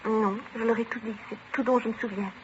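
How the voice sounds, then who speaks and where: Rapid, frightened woman witness played by an actress. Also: fairly high pitch, fairly even intonation, natural voice. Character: frightened, bewildered female robbery witness. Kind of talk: 1950s radio drama dialogue. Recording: muffled, noisy old radio broadcast recording.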